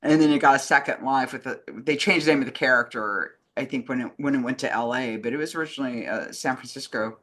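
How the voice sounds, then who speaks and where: A woman's voice: rumbling low, fast, few pauses, fairly bright tone. A woman in casual conversation, talking in an enthusiastic, rambling way as a co-host. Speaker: co-host answering fan questions. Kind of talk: casual chat.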